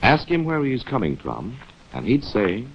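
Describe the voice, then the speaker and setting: A man's voice: mid-range voice, huge pitch swings, unhurried, slight background noise. An American man narrating a 1940s instructional film, chiding its characters directly in a wry, rhetorical way. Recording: dull 1940s film soundtrack, music.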